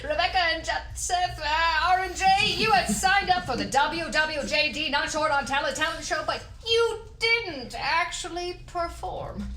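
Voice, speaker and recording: A woman playing a brisk, officious radio programming director in a comedy script table read. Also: extremely high pitch, pushed and bright, roomy sound, slight background noise, exaggerated voice, poor audio. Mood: officious, apologetic. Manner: businesslike, officious.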